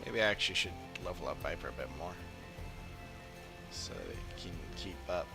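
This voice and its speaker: A man's voice: sits low, expressive, moderate pace, vocal fry, over loud music. A man streaming a video game, muttering casually and thinking aloud as he plays. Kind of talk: casual play-along commentary.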